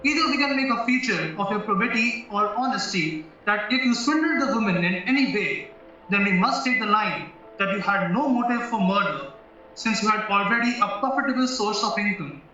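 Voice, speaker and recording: A man acts out a probing defence barrister in an audio drama, shrewd, formal and deliberate. His voice is high and forceful. The recording is dull and very echoey, as if far from the mic, with music behind. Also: lively intonation, a little hiss or hum. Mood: serious, deliberate. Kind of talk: stage-style courtroom audio drama acting.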